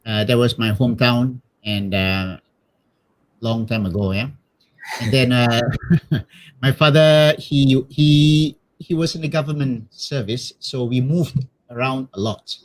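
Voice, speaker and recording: A middle-aged priest from Malaysia with a Malaysian accent, telling personal stories casually and haltingly, often repeating words. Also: male voice, medium pitch, poor audio. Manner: hesitant, repeats words, tags with "you know". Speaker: missionary priest being interviewed.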